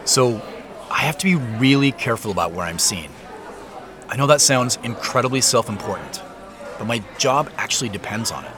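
An actor as an uneasy man, lively intonation. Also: mid-range voice, fairly quick, over music, a crowd in the background, lightly acted. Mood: wary, defensive. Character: uneasy, cautious professional man, probing and evasive. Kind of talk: naturalistic audio drama acting.

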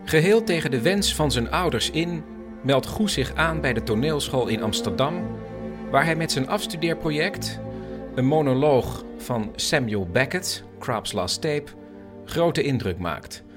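Male narrator with lively, sweeping intonation. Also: a little high, unhurried, music in the background. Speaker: radio documentary narrator. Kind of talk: scripted narration.

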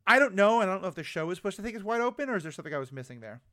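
A man's voice: high voice, big pitch swings, very fast, fairly bright tone. A man with an American accent, co-hosting a podcast and casually discussing a TV show. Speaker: podcast co-host.

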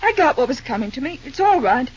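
An actress playing an earnest, modest, frank young railroad company woman in old-time radio drama, with halting, theatrical delivery on an old recording. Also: sits high, sing-song, dull audio. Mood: gently forgiving.

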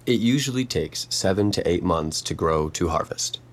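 An actor playing an awkward, lonely botanist in an audio drama, earnest and stammering, with a husky voice and formal wording. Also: sits low, animated, normal speed. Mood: curious, earnest. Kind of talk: naturalistic audio drama dialogue.

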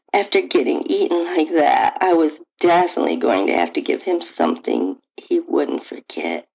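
Woman reading a steamy story, low creaky voice, breathy and sultry, sometimes nearly whispering. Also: darkish tone, poor audio. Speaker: podcast host and author reading own story. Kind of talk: first-person erotic story narration.

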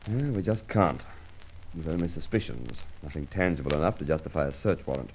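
Low mellow police inspector, no-nonsense, lively intonation, old radio play. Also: male voice, gravelly, a little hiss or hum, exaggerated voice, rough recording. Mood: businesslike, confiding. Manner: brisk, businesslike, confiding. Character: brisk, no-nonsense Scotland Yard police inspector. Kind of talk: old-time radio drama acting.

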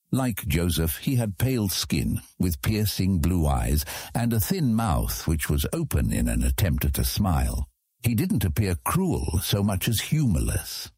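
A man's voice: sits low, dark tone, slightly rough, dry recording, clean studio sound. A man narrating a fantasy audiobook from a script as the storyteller.

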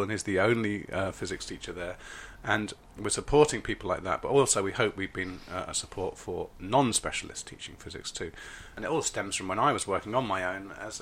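A man in his fifties co-hosting a podcast, chatting casually and jokily with a husky voice after a late night. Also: lowish voice, fairly bright tone, slight background noise. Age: 50s. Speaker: podcast co-host. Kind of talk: casual chat. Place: garden summer house.

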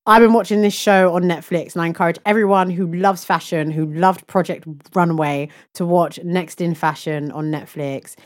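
A woman co-hosts a podcast in a fairly low-pitched voice. She chats casually and informally, dropping in slang as she goes. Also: steady tone, moderate pace, few pauses. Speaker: podcast co-host. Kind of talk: casual chat.

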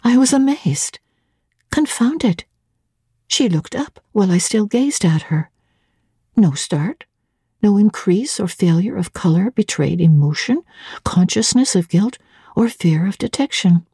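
A woman narrating classic literature as a dramatic reading, expressive, deliberate and theatrical. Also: medium pitch, slightly rough, no room sound, clean studio sound. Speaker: audiobook narrator.

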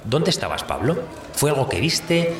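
A man's voice: medium pitch, big pitch swings, normal speed, a crowd in the background, natural voice. A man playing a persistent, inquisitive journalist in an audio drama. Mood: insistent. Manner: hesitant openings, then insistent questioning. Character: persistent, inquisitive male space-podcast journalist. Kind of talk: scripted audio drama press conference. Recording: echoey room with faint noise.

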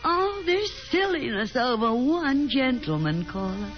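A woman's voice: high-pitched, very animated, measured pace, faint music behind. An actress playing a domineering, chattering middle-aged Southern mother in a theatrical 1950s radio drama style. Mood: exasperated, commanding. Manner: theatrical, gushing, commanding. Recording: muffled, hissy 1950s radio broadcast.

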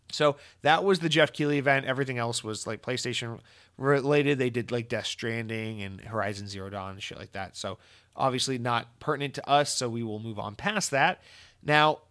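Hoarse, tired man ranting bluntly. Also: mid-range voice, fairly bright tone. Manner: blunt, swears freely. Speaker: gaming podcast host. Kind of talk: casual opinionated chat.

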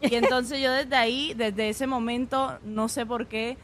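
A young woman, a chef from Puerto Rico with an accent mixed between Puerto Rican and Mexican Spanish, telling stories in a relaxed way with frequent fillers in a radio interview. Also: on the high side, unhurried, slight background noise. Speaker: guest chef.

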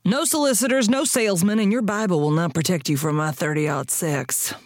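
A woman's voice: on the low side, brisk, very noisy, close to an everyday voice. An actress playing a wary, folksy cowgirl recluse with a drawl in an audio drama. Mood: wary, threatening. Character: gruff, suspicious, folksy cowgirl recluse, armed and quippy.

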